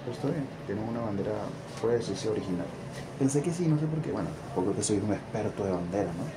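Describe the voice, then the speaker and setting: A man in his thirties chatting casually and haltingly, as if muttering to himself, in a small room. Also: fairly low pitch, animated. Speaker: video host. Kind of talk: casual unscripted chat. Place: small indoor room with bare walls. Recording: clearly noisy background hum.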